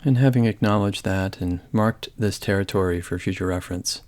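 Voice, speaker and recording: Low-voiced man guiding a meditation. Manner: calm, gentle, measured, with long pauses. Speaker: meditation guide. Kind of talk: guided body scan meditation.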